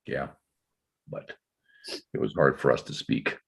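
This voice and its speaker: A man playing a burdened, defensive father in a dramatic table read, explaining himself in halting, measured phrases. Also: very deep voice, vocal fry, breathy edge, full character voice. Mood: regretful.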